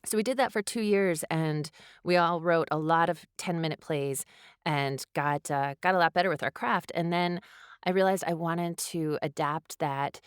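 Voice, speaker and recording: Casting: female playwright in a casual interview, very low-pitched voice, dry recording. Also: moderate pace, smooth, dry recording.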